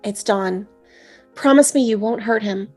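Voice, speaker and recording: A woman's voice: mid-range voice, a little slow, a little mellow, slightly rough, faint music behind. A woman playing a kind, flustered young college student in a screenplay table read, with a tender, apologetic way of talking. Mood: pleading.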